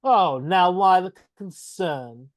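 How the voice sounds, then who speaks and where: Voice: mid-range voice, animated, slow pace, no room sound. A performer voicing a cruel, mocking goblin king in a live reading over a video call. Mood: taunting. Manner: taunting, mocking with laughs.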